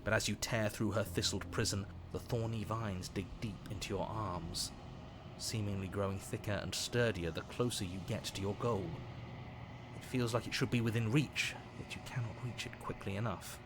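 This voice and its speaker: A man acting in a horror visual novel, delivering dramatic, deliberate scripted lines in literary phrasing. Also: fairly low pitch, fairly even intonation, noisy background, natural voice. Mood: grim, solemn. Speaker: game narrator. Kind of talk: dramatic scripted game narration.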